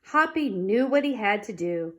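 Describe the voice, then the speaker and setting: A woman reading a children's storybook aloud in an expressive, dramatic manner. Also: mid-range voice, moderate pace, dull audio. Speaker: storybook reader.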